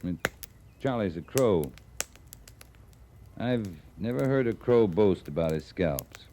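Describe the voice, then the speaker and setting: Low-voiced, gruff cavalry captain. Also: male voice, animated, slow pace, dark tone. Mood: firm, measured. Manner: terse, dry, blunt. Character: gruff, dry-humored US cavalry captain on the frontier. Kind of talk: 1950s radio western acting. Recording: old radio recording with background noise.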